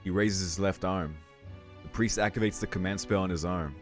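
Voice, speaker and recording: Low-voiced man reading a story. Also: brisk, soft background music. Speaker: let's-play host reading aloud. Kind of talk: narrating a visual novel aloud.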